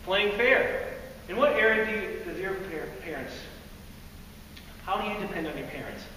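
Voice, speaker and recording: High bright-voiced teen boy in an echoey hall. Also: moderate pace. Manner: loud, energetic, laughs often. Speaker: youth speaker. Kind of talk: lively devotional talk to a group. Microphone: no microphone. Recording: echoey hall, far from mic, faint noise.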